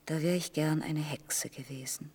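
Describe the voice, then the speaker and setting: An actress in a German radio play as a frail, motherly middle-aged woman, half-whispering haltingly in a breathy, intimate murmur. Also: sits low, fairly even intonation, darkish tone. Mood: murmured, confiding.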